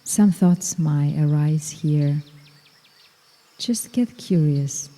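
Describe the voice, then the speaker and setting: A woman guiding a meditation in a slow, soft, soothing way, with long pauses between phrases. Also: low-pitched, in a large hall. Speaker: meditation guide. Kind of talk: guided meditation.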